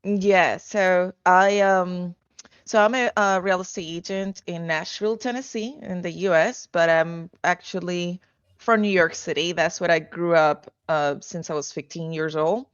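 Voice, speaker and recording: A woman's voice: on the low side, unhurried, clean tone, no echo, highs cut off. A woman from Venezuela, a realtor and author, answering interview questions casually over a video call with lots of fillers and restarts. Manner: hesitant, many fillers and restarts, laughs easily.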